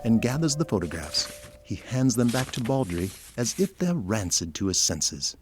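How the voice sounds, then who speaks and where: A man's voice: on the low side, big pitch swings, moderate pace. A man narrating a scripted audio drama, reading out the action as a storyteller. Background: music.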